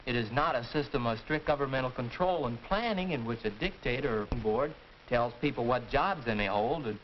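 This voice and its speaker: Articulate schoolboy debater male actor, didactic and expressive, 1940s instructional film, muffled audio. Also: mid-range voice, fairly quick, a little hiss or hum, lightly acted. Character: reasonable, articulate teenage boy moderating a debate. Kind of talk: 1940s educational film acting, scripted discussion.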